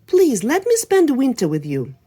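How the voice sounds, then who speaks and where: A woman's voice: high-pitched, wildly swooping pitch, moderate pace, mellow, breathy edge, voice pushed up, exaggerated voice. A woman voicing a small, timid female bird with a broken wing, asking for shelter in a storybook tale. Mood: pleading. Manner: pleading and timid.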